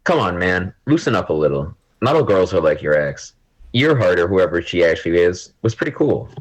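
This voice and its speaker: Young man acting blunt, supportive best friend, very low husky voice, romcom audio play. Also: sing-song, brisk, poor audio. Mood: encouraging. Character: blunt, teasing, supportive gamer buddy. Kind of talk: amateur romantic comedy audio drama.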